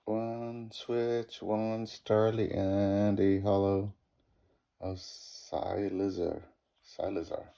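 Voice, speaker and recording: A man's voice: fairly low pitch, level tone, very slow, creaky, dark tone. A middle-aged man with a Boston accent, rambling casually while opening card packs and stumbling over card names. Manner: rambling, self-deprecating, fumbles card names. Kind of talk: casual pack-opening commentary.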